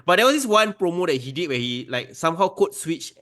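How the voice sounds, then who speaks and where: A man in his thirties co-hosting a podcast, chatting casually in an excited, emphatic way. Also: fairly high pitch, quick pace. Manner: excited and emphatic, calls his co-host "bro". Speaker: podcast co-host. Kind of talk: casual chat.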